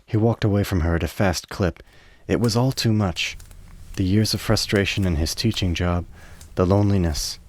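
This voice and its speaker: A man narrating a radio play with measured, literary dramatic storytelling. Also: sits low, a little mellow, dry recording.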